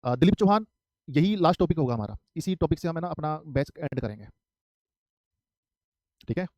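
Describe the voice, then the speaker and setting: Male math teacher from Haryana in his thirties, slightly high, close and dry, drills every step. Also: very animated, moderate pace, a little mellow, no echo, lo-fi sound. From Haryana, India. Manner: repetitive, asks and answers his own questions. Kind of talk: live online exam-prep math class.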